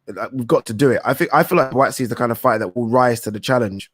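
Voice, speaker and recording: A man's voice: mid-range voice, quick pace, breathy edge, no echo, clean studio sound. Casual man hosting a boxing stream. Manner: rambling, swears casually, thinks aloud and corrects himself. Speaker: boxing talk show host. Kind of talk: casual live-stream chat reading viewer questions.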